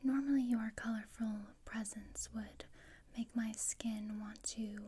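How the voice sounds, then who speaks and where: Woman as gloomy, haughty teen girl for ASMR roleplay: very breathy whisper, dark and soft, dryly sardonic. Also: a little high, a little slow. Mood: cold, grudging. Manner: deadpan, dry, haughty. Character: gloomy, sardonic teenage outcast girl, coldly disdainful.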